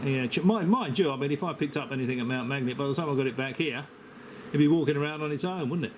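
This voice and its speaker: A man chatting casually as an amateur radio operator, rambling with hesitant pauses, picked up by a handheld camera near his radio. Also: on the high side, background noise. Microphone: camera microphone held near the operator.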